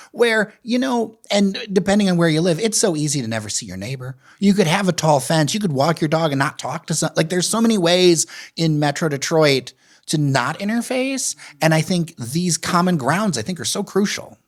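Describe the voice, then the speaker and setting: A man hosting a casual local interview podcast, conversational and thinking aloud as he asks questions. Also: fairly high pitch. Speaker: podcast host.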